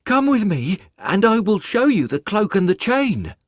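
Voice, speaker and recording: A man's voice: sits high, sing-song, unhurried, no echo, clean studio sound, exaggerated voice. A man playing a stern but kindly woodcutter and foster father in a storybook tale, emphatic and reproachful. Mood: earnest. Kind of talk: dramatised storybook reading.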